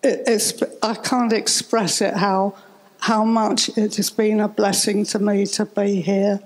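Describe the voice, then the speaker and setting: A woman in her late eighties giving a halting, reflective personal testimony in an interview on a church stage. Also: mid-range voice, little pitch movement, poor audio. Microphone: handheld microphone held close.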